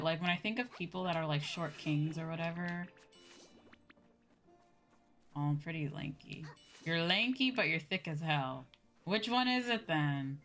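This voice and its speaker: A woman with a fairly low voice streams a game and chats with her viewers. Her talk is informal, slangy and loosely rambling. Light game music plays behind her. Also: a bit bright. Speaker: livestreamer, VTuber host. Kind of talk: casual chat while playing a game, answering viewers.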